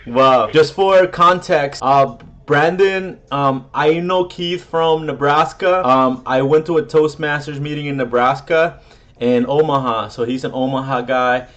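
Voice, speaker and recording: A man with a Spanish accent hosting a video roundtable, excitable and full of fillers. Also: a little high, a little slow, dull audio. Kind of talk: casual chat. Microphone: video call.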